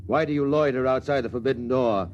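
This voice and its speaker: A man's voice: mid-range voice, fast talker, slight background noise, natural voice. An actor playing a stern male control policeman aboard a ship, interrogating with cold, clipped questions in a 1950s radio drama. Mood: suspicious. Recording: dull lo-fi vintage radio recording.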